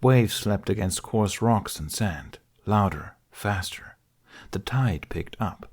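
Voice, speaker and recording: A man with a fairly low voice narrates an audiobook. He reads scripted fiction as a storyteller. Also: lively intonation, takes it slowly, darkish tone.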